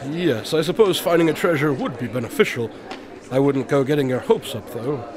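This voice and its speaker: A man's voice: mid-range voice, fairly quick, a crowd in the background, natural voice. A man playing an eccentric, observant detective in an audio drama, speaking in a precise, formal, absent-minded way. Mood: curious, composed.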